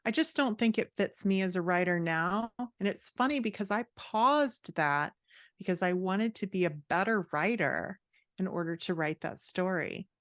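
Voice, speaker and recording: A woman's voice: mid-range voice, animated, normal speed, no echo, clean studio sound. A woman speaking as a guest author and writing coach in a casual podcast conversation.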